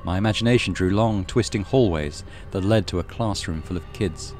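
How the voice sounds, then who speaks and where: Deep-voiced man narrating horror over music. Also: normal speed, darkish tone. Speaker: gaming channel host reading visual novel. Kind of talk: dramatic scripted horror narration.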